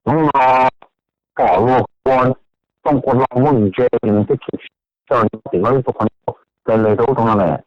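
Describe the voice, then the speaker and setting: A man's voice: mid-range voice, moderate pace, no room sound. A man speaking conversationally as a participant on a telephone conference call. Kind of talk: conversational speech on a group call. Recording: dull, lo-fi telephone conference line.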